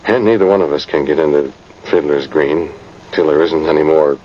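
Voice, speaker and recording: A man's voice: extremely low pitch, fairly even intonation, normal speed. An American man playing a folksy, plain-spoken old cavalry sergeant telling his tale in a vintage radio drama. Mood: somber. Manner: rustic, rambling, plain-spoken old soldier. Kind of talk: 1950s radio drama narration. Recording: muffled noisy vintage radio recording.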